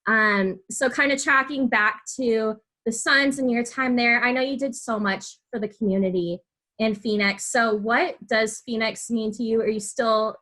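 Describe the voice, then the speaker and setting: A woman's voice: a little high, fairly even intonation, moderate pace, sharp tone. A woman hosting a podcast, asking questions in a casual, friendly interview style.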